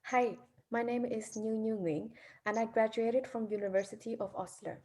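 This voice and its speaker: A young woman from Vietnam, presenting her research in a careful, measured way. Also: a little high, fairly even intonation, darkish tone. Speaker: student presenter.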